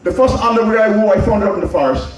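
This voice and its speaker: A man's voice: high-pitched, rapid-fire. A middle-aged man with an Anguillian accent giving an emphatic public speech, repeating key phrases for effect. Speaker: public speaker. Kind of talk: public address with personal reminiscence. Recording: very echoey, distant from the mic.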